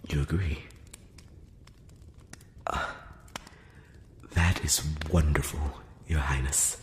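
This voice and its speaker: A man playing a courteous, curious elf prince in an intimate ASMR fantasy roleplay, speaking softly and formally with some hesitation, close to the mic. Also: rumbling low, fairly even intonation, slow pace. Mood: earnest, persuasive, then sheepish, confiding. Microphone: close-up microphone. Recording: roomy long reverb, background hiss.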